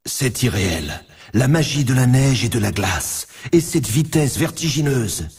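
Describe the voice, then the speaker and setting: A man's voice: medium pitch, lively intonation, a little slow, a little mellow, breathy edge, distant mic, heavily acted. A man playing a grandiose, emphatic announcer for an extreme snowboarding championship in a video game. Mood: grandiose, solemn. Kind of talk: scripted dramatic sports game announcing.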